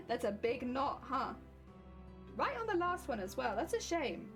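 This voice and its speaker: A woman's voice: sits high, very animated, a little slow, a bit bright, faint music behind. A woman chatting casually on a livestream, explaining her sewing steps as she works and laughing often. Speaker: cosplay crafting streamer.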